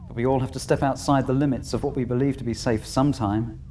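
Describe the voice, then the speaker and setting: A man's voice: mid-range voice, fairly even intonation, quick pace, mellow, a little hiss or hum, lightly acted. A man playing a weary, wry village overseer. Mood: persuasive. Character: weary male village overseer, Number Two. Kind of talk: fan audio drama acting.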